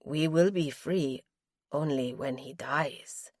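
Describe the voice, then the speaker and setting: Woman voicing a hushed, timid young maid. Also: deep voice, slow, no room sound, clean studio sound, full character voice. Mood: fearful. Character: fearful young enslaved maid, devoted. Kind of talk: audiobook character voice.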